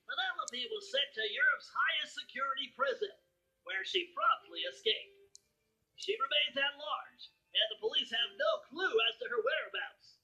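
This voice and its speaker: Very high-pitched nerdy cartoon turtle narrator. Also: male voice, expressive, normal speed, vocal fry, bright, forceful tone, exaggerated voice, low-quality recording. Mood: wry, upbeat storytelling. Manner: precise, wry storytelling. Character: nerdy, brainy male turtle sidekick recounting epilogues. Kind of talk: scripted cartoon game narration.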